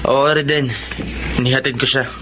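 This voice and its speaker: A man's voice: mid-range voice, fairly even intonation, normal speed, background noise, lightly acted. A man playing a devoted, earnest young suitor in a radio drama, speaking emphatically. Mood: polite, guarded. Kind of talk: Filipino radio drama acting. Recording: music under the voice.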